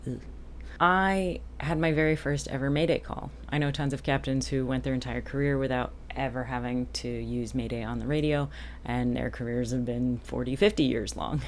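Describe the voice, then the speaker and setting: A woman's voice: very deep voice, steady tone, normal speed, slight background noise. A middle-aged woman, a boat captain, chatting casually to camera in a wry, self-deprecating way. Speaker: boat captain and online boating vlogger. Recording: music playing behind the talk. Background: music.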